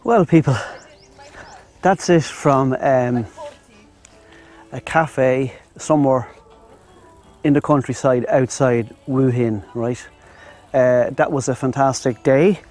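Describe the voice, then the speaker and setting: A man's voice: mid-range voice, slow pace, long pauses, darkish tone, soft background music. An Irish man rambling casually to camera as a travel vlogger, outdoors on a lavalier mic. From Ireland. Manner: rambling, chatty, addresses viewers as "my friends". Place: outdoors in Thai countryside.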